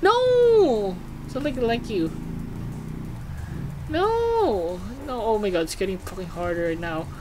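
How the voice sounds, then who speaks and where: Excitable gamer doing casual lets play commentary, mid pitch that jumps around a lot, noisy recording. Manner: excitable, exclaiming and swearing. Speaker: gaming video host. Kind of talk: casual gameplay commentary, reading game text aloud.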